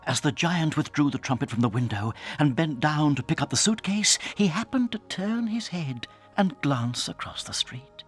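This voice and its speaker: A man with a British accent narrating a dramatized children's story in a suspenseful, theatrical way that can drop toward a hush. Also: fairly high pitch, lively intonation, normal speed, few pauses, slightly rough, soft background music. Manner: dramatic, suspenseful, hushing to near whispers.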